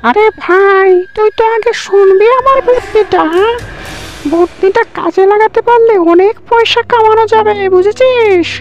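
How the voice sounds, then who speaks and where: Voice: extremely high pitch, normal speed. A performer voicing a cocky, greedy young village man in a cartoon, pushy and wheedling. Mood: eager, scheming. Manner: pushy, wheedling, dismissive of scruples. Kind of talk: cartoon dialogue. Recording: muffled dull audio with background music.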